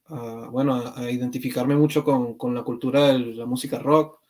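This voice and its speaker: A man's voice: mid-range voice, steady tone, moderate pace, darkish tone. A man in his thirties from Venezuela, a podcast guest who talks reflectively, with many fillers and restarts. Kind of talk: conversational interview.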